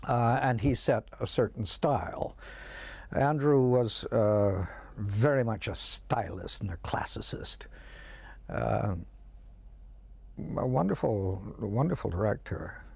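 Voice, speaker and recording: A man reminiscing admiringly in an interview, halting and repeating words for emphasis. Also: on the low side, animated, creaky, a little mellow, husky, no echo. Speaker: interviewee recalling a radio director.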